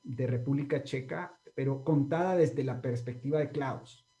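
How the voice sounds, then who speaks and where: A man's voice: mid-range voice, moderate pace, warm tone. A man with a Guatemalan accent interviewing a guest, rambling with many fillers and tag questions. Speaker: interviewer, channel host. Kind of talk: casual interview.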